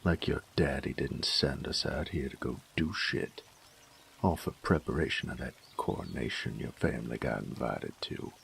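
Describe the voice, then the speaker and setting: Man acting a very deep, gravelly bandit. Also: normal speed, a bit bright, a little hiss or hum, heavily acted. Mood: annoyed, grumbling. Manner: drawling, teasing, folksy, crude. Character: cocky sadistic bandit, gruff, teasing, dominant young man. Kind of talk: intimate audio roleplay drama.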